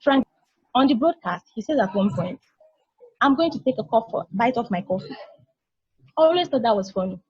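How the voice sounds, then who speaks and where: A woman's voice: on the high side, animated, fairly quick, long pauses, darkish tone, lo-fi sound, lightly acted. A woman playing a knowing, teasing little-sister space probe in an amateur table read, casual and explanatory. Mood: knowing, amused.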